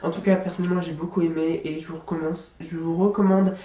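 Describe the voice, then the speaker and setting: A woman's voice: fairly low pitch, little pitch movement, fast, dark tone. A young woman vlogger with a stuffy nose from a cold, chatting casually about books she loves, with lots of fillers and restarts. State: congested with a cold. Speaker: book vlogger. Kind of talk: casual book review monologue. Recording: echoey room.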